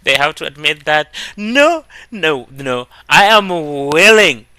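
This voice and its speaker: Lively, bright-voiced man reacting to a video. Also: a little high, slow, clear, resonant tone, no echo. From Kenya. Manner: animated, laughing, emphatic when riled up. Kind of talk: casual reaction commentary.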